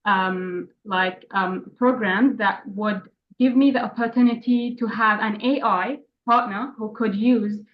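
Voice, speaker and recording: A woman's voice: mid-range voice, steady tone, unhurried, few pauses, muffled recording. A young woman whose first language is Arabic, answering in class with many restarts and fillers. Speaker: student.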